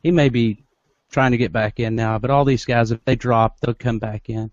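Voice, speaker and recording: A man's voice: mid-range voice, steady tone, no echo. Man hosting a dry, dull video call. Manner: loose, halting, with many restarts and laughs. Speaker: host of a live video call show. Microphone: headset with headphones.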